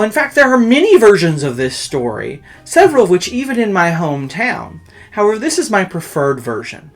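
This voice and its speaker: A storyteller host telling a horror tale in a pompous, campy, theatrical way, with dramatic pauses and drawn-out words. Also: medium pitch. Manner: pompous, campy, self-flattering showmanship.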